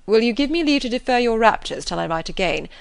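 A woman voicing a proud, reserved young gentleman who speaks in measured, lecturing tones. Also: on the high side, lively intonation, gravelly, smooth, no echo, voice pushed up. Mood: cool.

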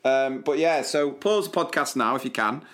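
A man's voice: mid-range voice, moderate pace. A man with a mid-range voice, talking easily and casually as a podcast co-host. He plugs the show and keeps the conversation moving. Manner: relaxed, promotional plugs. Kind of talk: casual chat.

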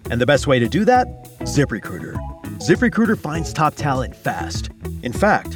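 Male ad read; upbeat, animated pitch; music bed. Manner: upbeat salesy delivery. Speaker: ad announcer. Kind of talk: scripted advertisement read.

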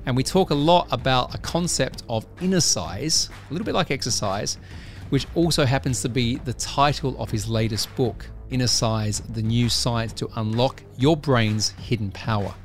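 A man's voice: mid-range voice, moderate pace, soft background music. A man from England hosting a podcast interview, chatty and enthusiastic, often restarting his phrases. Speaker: podcast host.

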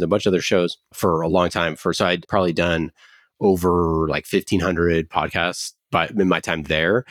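A man's voice: deep voice, dry recording, clean studio sound. An American man telling his story as an interview guest in a conversational, explanatory way. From Oakland, United States. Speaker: podcast company founder, interview guest.

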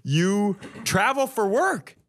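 A man's voice: high-pitched, sing-song, slow pace. A man co-hosting a comedy podcast, bantering casually in a teasing, mock-prosecutorial way.

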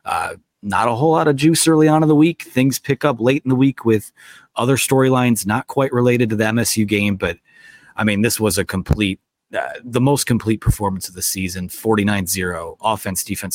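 A man's voice: lowish voice, fairly quick, no room sound. A man hosting a live post-game sports talk show from a stadium press box, losing his voice to a cold, talking casually with lots of fillers. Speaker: podcast host.

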